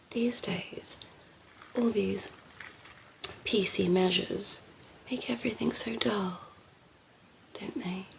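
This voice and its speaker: A cheeky female vampire played by a woman murmurs and whispers in an unhurried, creaky voice. She coos at humans with teasing, condescending affection, in the close, breathy style of ASMR roleplay. Clear background noise sits under the voice. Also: mid-range voice, a little mellow, low-quality recording. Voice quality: breathy, often dropping into a whisper. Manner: slow, teasing, cooing.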